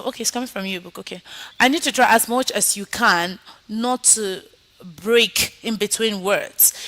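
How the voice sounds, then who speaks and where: A woman's voice: medium pitch, bright, forceful tone, poor audio. A woman teaching an online IELTS speaking lesson, coaching students and then running a mock exam. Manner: coaching, encouraging, repeats "okay?". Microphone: video call.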